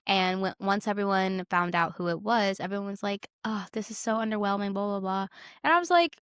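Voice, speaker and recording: A woman's voice: medium pitch, brisk, a bit bright, clean tone, no room sound, studio quality. A young adult woman talking casually and conversationally about films as a podcast co-host. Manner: informal, conversational.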